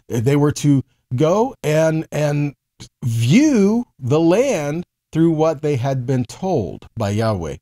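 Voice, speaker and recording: Mellow American man teaching scripture. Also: mid-range voice. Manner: explanatory, with frequent check-in tags like okay and right. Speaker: Bible teacher. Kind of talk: conversational teaching sermon.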